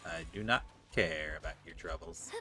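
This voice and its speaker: A man's voice: low-pitched, normal speed, sharp tone. A man doing casual, joking gameplay commentary as a game streamer. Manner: informal, joking, exclamatory. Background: faint game music.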